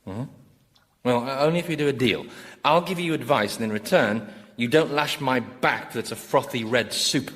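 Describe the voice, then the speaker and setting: A man's voice: medium pitch, moderate pace, fairly bright tone, close to an everyday voice. An actor playing a sardonic, weary damned man, dry and incredulous, in a radio sitcom. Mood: protesting. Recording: long hall reverb, clear background noise.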